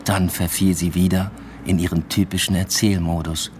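A man's voice: deep voice, level tone, moderate pace, a little mellow, a little hiss or hum, lightly acted. An actor playing an introspective young doctoral student who narrates his own story with restraint in a literary radio drama. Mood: reflective.

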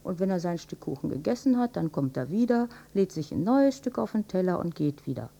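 Husky, resigned older housewife. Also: female voice, fairly low pitch, very animated, a little fast, mellow, heavily acted. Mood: wry, resigned. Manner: dry, matter-of-fact, resigned. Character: resigned older housewife and mother, drily mocking her husband. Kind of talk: German radio drama acting.

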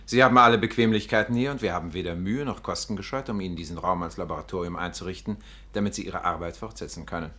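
Low-voiced, controlling doctor. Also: male voice, fast, bright, forceful tone. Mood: placating. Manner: formal, courteous, condescending. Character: smooth-mannered, controlling younger male asylum doctor. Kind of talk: 1950s German radio play acting.

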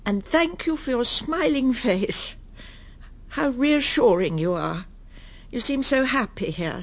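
Prim middle-aged English lady, muddled and weary, unhurried, breathy husky voice, radio play. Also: fairly high pitch, heavily acted. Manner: polite, halting, breathy murmured self-talk. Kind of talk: radio drama acting, intimate monologue and dialogue.

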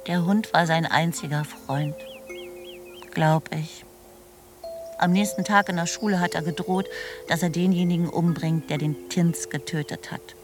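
A woman's voice: lowish voice, steady tone, a little slow, lightly acted. A woman playing a reflective first-person narrator in a German radio drama, recalling school days in a plain, understated way. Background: music.

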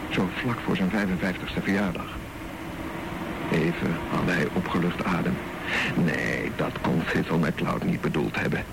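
A man narrating a radio drama in slow, deliberate storytelling with pauses. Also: low-pitched, gravelly, background noise.

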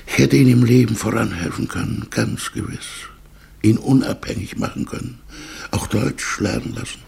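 An actor playing a brooding, mild middle-aged man in a German radio play, halting and murmuring, with a husky, creaky voice. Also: mid-range voice, a little mellow, exaggerated voice. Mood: wistful, brooding. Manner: halting, murmuring, drifting into inward monologue.

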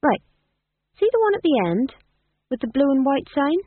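Narrator putting on a smooth, high-voiced young gentleman. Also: very animated, moderate pace, dry recording, narrow, tinny audio. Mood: teasing. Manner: smooth, well-spoken, teasing. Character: smooth, confident, witty young gentleman actor.

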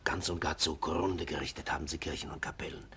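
Grumbling worried burgher in a radio drama, low forceful voice, slight noise. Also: male voice, level tone, brisk, in a whisper. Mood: indignant, alarmed. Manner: emphatic, grumbling. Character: indignant, worried townsman, a guildsman in old Brussels. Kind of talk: classic German radio play acting.

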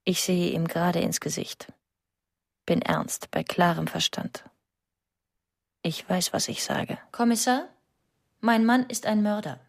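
An actress playing a tense, suspicious wife, narrating a radio drama in clipped, insistent fragments. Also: female voice, fairly low pitch, measured pace, creaky, pauses often, no echo, studio quality. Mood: grave, insistent. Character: tense, suspicious wife convinced her husband kills.

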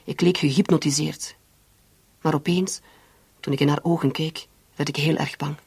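A woman's voice: sits low, steady tone, moderate pace, vocal fry, darkish tone, slightly rough, no echo, exaggerated voice. An actress playing an anguished, lovelorn woman in a radio drama, speaking with confessional intensity. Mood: haunted. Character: anguished woman secretly in love with her brother-in-law.

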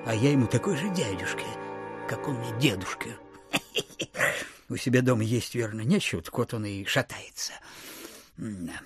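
A stingy old landowner in a theatrical audio drama. He mutters and fusses suspiciously, his pitch leaping and sinking as he grumbles. Faint music sits behind him. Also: husky, dry recording, full character voice. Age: older. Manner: muttering, fussy, exclamatory, drifting into grumbles.

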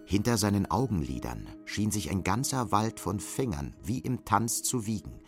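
A man's voice: fairly low pitch, very animated, moderate pace, soft background music. A man reading scripted literary narration as the narrator of an audio drama.

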